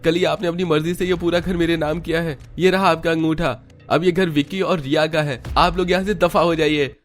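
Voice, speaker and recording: A man performs a young, scheming husband for a cartoon family drama. The voice is lifted high and boyish, weak-willed and crafty in manner, with light music underneath. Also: fairly even intonation, fairly quick, natural voice. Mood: gloating. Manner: pleading with wife, gloating with family. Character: Vicky, scheming hen-pecked young husband and younger son. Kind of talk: Hindi cartoon story dub.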